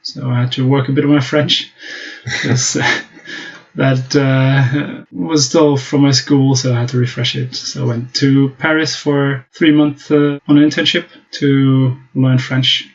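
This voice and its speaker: German guy speaking english, flat even voice, lots of uhs, dull lo-fi audio. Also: medium pitch, unhurried. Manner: hesitant, many fillers and restarts. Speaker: guest. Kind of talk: casual interview talk.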